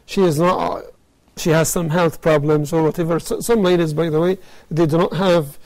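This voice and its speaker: A man's voice: on the high side, steady tone, moderate pace, a little mellow. A man speaking as an expert guest, explaining things in a panel discussion.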